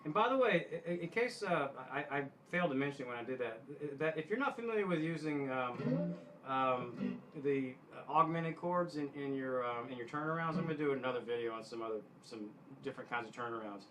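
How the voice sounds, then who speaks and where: A man giving casual guitar instruction in a halting way, often backtracking and clearing his throat. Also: mid-range voice, lively intonation, very noisy. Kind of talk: casual instructional talk to camera.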